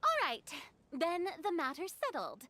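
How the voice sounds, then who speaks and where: A woman's voice: extremely high pitch, huge pitch swings, a little slow, pushed and bright, no room sound, full character voice. An actress playing a cheery, encouraging young lady leader in a video game, upbeat and gracious. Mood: supportive, upbeat. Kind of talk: scripted video game dialogue.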